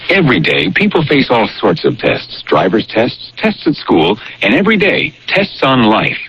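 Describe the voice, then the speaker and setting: Male public service announcer, animated scripted read, dull radio audio with faint noise. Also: mid-range voice, moderate pace.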